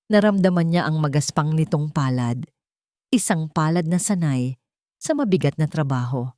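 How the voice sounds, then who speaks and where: Low-voiced woman narrating a romance, close and dry. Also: lively intonation, moderate pace, dark tone, dry recording. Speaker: storyteller. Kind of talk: scripted romantic story narration.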